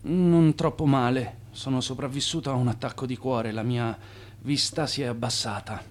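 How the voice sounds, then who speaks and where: An actor in a radio drama playing a defiant, scheming swindler, an Italian immigrant, with theatrical delivery. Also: male voice, mid-range voice, fairly even intonation, normal speed, natural voice. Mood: weary, subdued.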